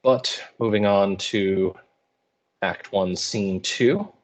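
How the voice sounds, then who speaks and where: A male teacher with a fairly low voice gives informal lecture commentary. He explains in a colloquial, playful way with wry asides. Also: drawn-out words. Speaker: high school English teacher.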